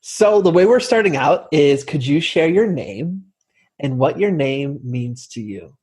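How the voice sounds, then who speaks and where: A man's voice: a little high, expressive, unhurried, darkish tone, slightly rough. Rambling man hosting a casual interview. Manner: rambling, many fillers and restarts. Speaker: podcast host.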